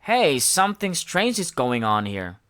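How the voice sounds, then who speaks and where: A woman voicing a blustery male constable with a soft heart in a children's storybook. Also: deep voice, very animated, a little slow, heavily acted. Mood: puzzled.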